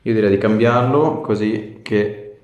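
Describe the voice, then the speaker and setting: A man's voice: lowish voice, a little slow, a little mellow, dull audio. A male chiropractor chatting casually with a patient and giving reassuring, coaxing instructions during treatment. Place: small indoor clinic treatment room. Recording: echoey small treatment room.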